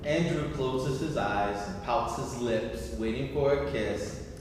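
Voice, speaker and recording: A man's voice: mid-range voice, takes it slowly. Man narrating from afar in an echoey hall. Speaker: host reading stage directions. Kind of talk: live staged reading narration and introduction. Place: large echoey room before a live stream. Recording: echoey hall, far mic, faint noise.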